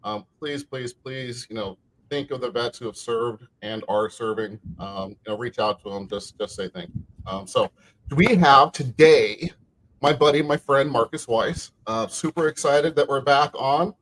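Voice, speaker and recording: A man's voice: mid-range voice, normal speed, fairly bright tone, poor audio. A man hosting a podcast in casual chat, friendly and full of "um", "you know" and repeated words. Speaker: podcast host.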